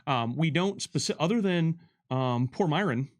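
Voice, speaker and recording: A man's voice: a little high, animated, moderate pace. A man in casual conversation as a game developer, explaining and answering questions, rewording carefully as he thinks aloud. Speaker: game developer giving commentary.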